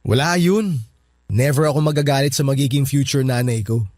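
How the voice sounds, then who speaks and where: A man's voice: medium pitch, moderate pace, darkish tone, dry recording, clean studio sound, natural voice. A man playing a polite, easygoing young Filipino boyfriend in a radio drama. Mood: light, teasing. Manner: polite, deferential.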